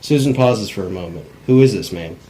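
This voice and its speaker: A man's voice: on the low side, animated, fairly quick, mellow, slight background noise. A man narrating script directions in a plain, unpolished cold read, stumbling now and then. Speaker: narrator reading stage directions.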